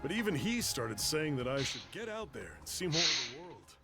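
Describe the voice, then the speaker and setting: A cheerful, easygoing adventurer from a video game. The voice sits fairly high and moves with lively pitch. He talks in a breezy, teasing, colloquial way, with music clearly behind him. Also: moderate pace. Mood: reflective, friendly. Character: cheerful, easygoing adventurer and loyal male travel companion.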